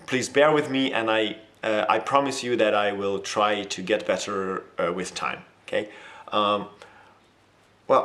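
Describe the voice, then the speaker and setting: A man's voice: on the low side, fairly bright tone. A man in his thirties with an Italian accent, talking to camera in a hesitant way with many fillers and restarts. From Italy. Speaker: language teacher and polyglot. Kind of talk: casual talk to camera.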